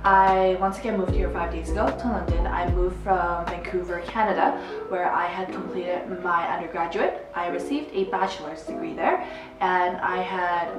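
A woman in her twenties, speaking in a measured, slightly formal way as co-host in a living room. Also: fairly low pitch. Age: 20s. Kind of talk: casual chat. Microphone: camera across the room. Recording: roomy echo, mic far off, music behind.

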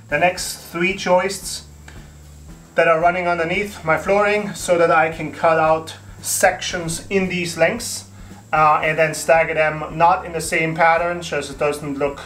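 A man's voice: fairly high pitch, a bit bright. A man in his thirties hosting a home renovation tutorial, explaining steps casually with fillers and restarts in an empty wooden attic room.

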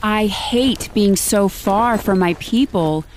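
A woman playing a gentle, homesick wife in a biblical radio drama. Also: mid-range voice, takes it slowly, slight background noise, close to an everyday voice, poor audio. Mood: weary, hopeless. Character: homesick tent-dwelling wife, gentle and despairing.

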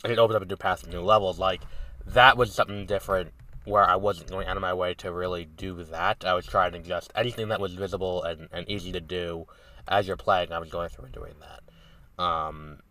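A man's voice: deep voice, steady tone, fairly quick, bright, forceful tone, recorded from a distance. A man hosting a podcast while sick with a cold, sounding nasal and congested, rambling casually with lots of ums and restarts. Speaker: podcast host. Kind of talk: casual solo review talk.

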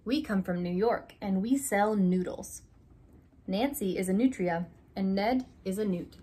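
Young woman slowly reading a picture book aloud. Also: medium pitch, warm tone, breathy edge. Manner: slow, deliberate reading with stressed opening letters. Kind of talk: children's read-aloud. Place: small room indoors by a curtained window.

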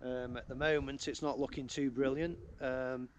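A man's voice: medium pitch, steady tone, moderate pace, a little hiss or hum. A middle-aged man talks casually as he walks and shoots photos outdoors on open moorland. He fills his talk with ums and restarts, and he speaks close to a handheld camera. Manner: hesitant, many ums and restarts. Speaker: landscape photographer vlogger. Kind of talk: casual on-location vlog talk. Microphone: camera held close to face.

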